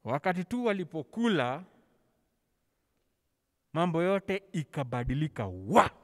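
A man's voice: on the high side, very animated, unhurried, fairly bright tone. A middle-aged Kenyan man teaching a children's church class, telling a Bible story theatrically, acting out dialogue and slipping casually between languages. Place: large church hall, on stage. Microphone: handheld microphone, held close over face mask. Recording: long hall reverb.

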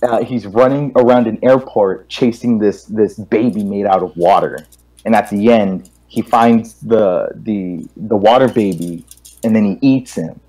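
A young man with a fairly low voice chats on a gaming stream. He tells stories casually, circling back and repeating himself as he goes. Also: a little slow, warm tone, poor audio. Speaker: guest co-host on a gaming stream. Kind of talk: casual group chat, rambling storytelling.

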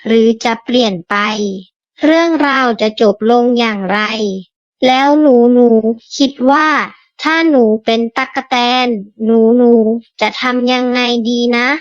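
A woman's voice: fairly high pitch, unhurried, no echo, highs cut off, lightly acted. A woman voicing a curious, kind young child adventurer who speaks with wonder to young listeners in a storybook tale. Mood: wondering, cheerful. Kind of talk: children's story hosting and acting.

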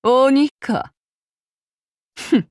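A cold, devoted samurai woman of demon blood, speaking in slow, courtly archaic phrases. Her voice sits a little high and stays soft, composed and unhurried. The recording is dry and close, with no room sound. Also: dry recording. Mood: cold, brooding. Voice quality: formal, archaic courtly speech. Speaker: game character voice actress. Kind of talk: game character voice lines.

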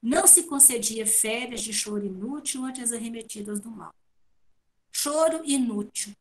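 High-pitched Brazilian woman giving devotional talk. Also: moderate pace. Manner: didactic, asks and answers her own questions. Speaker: guest speaker at a spiritist talk. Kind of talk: devotional talk, explaining read passages. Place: at home indoors on a video call. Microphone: wired earphone headset.

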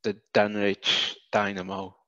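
A British man in his thirties, raised in Wales, chatting casually as an interview guest over a headset, with relaxed, halting speech full of fillers. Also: high-pitched, gravelly, no echo. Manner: relaxed, many fillers and restarts. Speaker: guest, cap business founder. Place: indoor room, video call. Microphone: headset on video call. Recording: dull, dry video-call audio.

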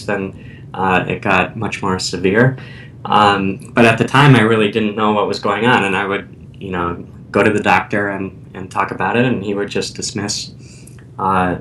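A man who is an author and guest, telling his personal story in a hesitant way with many fillers. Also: a bit bright. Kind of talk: interview storytelling. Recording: distant mic with faint rumble.

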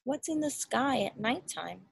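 Animated, high-voiced woman reading a storybook. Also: unhurried, gravelly, breathy edge. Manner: expressive, explains and asks simple questions for a child. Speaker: parent reading a picture book aloud. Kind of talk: children's storybook read-aloud. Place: outdoors in a backyard. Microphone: phone or laptop at arm's length.

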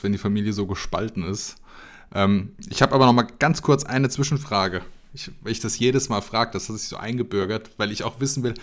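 A man co-hosting a live stream chat, who reads the guest introduction and then chats in a relaxed, friendly way over a headset. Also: lowish voice. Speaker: co-host. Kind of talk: scripted intro reading, then casual chat.

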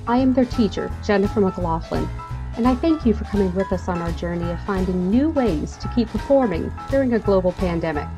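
Upbeat teacher host from Texas, mid-pitched, music underneath. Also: female voice, lively intonation, brisk. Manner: upbeat, jokey. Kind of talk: scripted podcast introduction. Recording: music bed behind voice.

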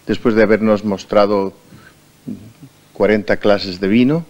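Andalusian male TV host, 50s, calm probing questions, low quiet voice. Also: measured pace, dull audio. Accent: Andalusian Spanish. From Andalusia, Spain. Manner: quiet, sparse murmured acknowledgements. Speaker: TV interviewer. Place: TV studio set, indoors.

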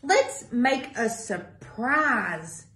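A woman voicing a cheerful, encouraging father mouse from a picture book in a warm, playful manner. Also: fairly high pitch, animated, drawn-out words, heavily acted. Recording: echoey small room.

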